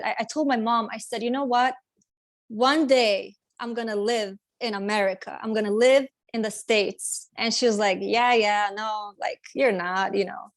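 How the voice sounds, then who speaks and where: A woman's voice: on the high side, no echo, studio quality. A woman from Sweden, a guest telling her life story in a hesitant way, full of fillers and restarts. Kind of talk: casual personal storytelling interview.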